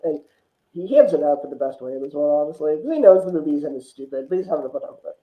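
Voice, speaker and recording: A chatty man talks casually about films as a podcast guest, often ready to chuckle. His voice sits in the middle to higher range for casual talk, heard through a dull recording with clear room echo. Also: lively intonation, rapid-fire. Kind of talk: casual film discussion. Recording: dull, echoey room sound.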